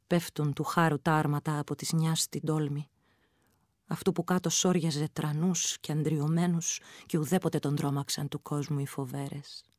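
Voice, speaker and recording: A woman performing as a grave storyteller, narrating a folk lament in hushed verse. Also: low-pitched, steady tone, no room sound, clean studio sound, lightly acted.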